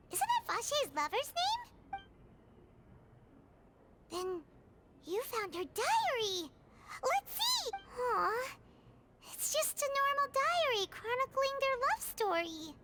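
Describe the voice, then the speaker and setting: A woman voicing a tiny, excitable, childlike floating fairy companion in a video game, exclamatory in manner. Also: very high voice, very noisy, heavily acted. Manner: refers to self in third person, exclamatory.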